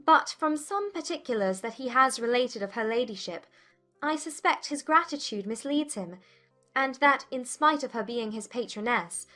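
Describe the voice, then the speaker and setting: A woman playing a witty, forthright young Regency gentlewoman in a dramatized audiobook. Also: high voice. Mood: critical.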